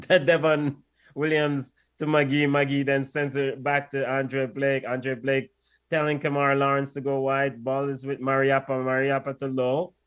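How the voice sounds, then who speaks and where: A man's voice: mid-range voice, level tone, a little slow, clean tone. A man doing casual play-by-play on a watch-along stream, and often pleading with the players and the referee. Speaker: co-host and match commentator.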